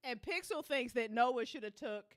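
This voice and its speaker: A woman's voice: a little high, expressive, normal speed, no room sound, clean studio sound. A woman hosting a live listen party in casual livestream chat, informal and teasing, with some swearing.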